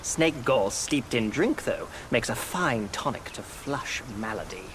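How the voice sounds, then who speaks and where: A man playing a jovial, hospitable fantasy brewer in a video game, theatrical and boastful, with loud noise behind him. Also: medium pitch, expressive, a little slow, natural voice. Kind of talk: video game cutscene acting.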